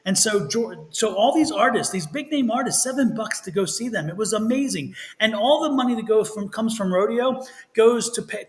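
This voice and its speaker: A Texan man with a fairly high voice talks his way through a live tarot reading. He rambles in a folksy manner and keeps circling back mid-sentence to correct himself. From Texas. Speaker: tarot reader and show host. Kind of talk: live-stream tarot reading, casual chat.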